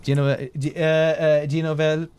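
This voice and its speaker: A man's voice: fairly high pitch, flat delivery, moderate pace, darkish tone, smooth. A man from southern Sweden, streaming a game and chatting casually with viewers. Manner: chatty, restarts and fillers, reads chat aloud.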